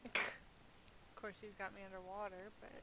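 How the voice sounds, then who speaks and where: A woman's voice: medium pitch, measured pace. Woman's casual gameplay chat, hissy recording. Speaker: video game streamer narrating own play. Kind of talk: casual gameplay commentary, talking to self. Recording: hissy home recording, steady noise.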